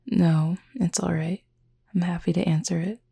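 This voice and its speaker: A reserved supernatural cupid played by a woman in an intimate audio roleplay. The voice is lowish for a woman, very creaky and husky, often close to a whisper. Speech is formal and measured, tender with a gently wry edge, and the pitch stays fairly flat. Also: heavily acted. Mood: sincere, reflective.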